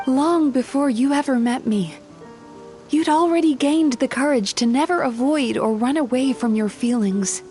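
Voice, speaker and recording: An actress playing a gentle young psychologist who tastes dreams, speaking softly and earnestly in a video game. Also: female voice, on the high side, close to an everyday voice. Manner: soft, reflective, earnest. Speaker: game character.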